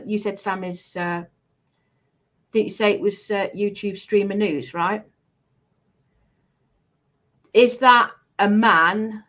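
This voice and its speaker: A woman giving casual commentary in a halting, deliberate way, repeating phrases for emphasis. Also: mid-range voice, fairly bright tone.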